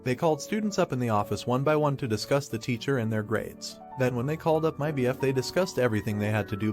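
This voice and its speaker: Male narrator, mid-range voice, rapid even read of text stories, faint music bed. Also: medium pitch. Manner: even, rapid reading that spells out abbreviations letter by letter. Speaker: story narrator. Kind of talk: scripted reading of online stories.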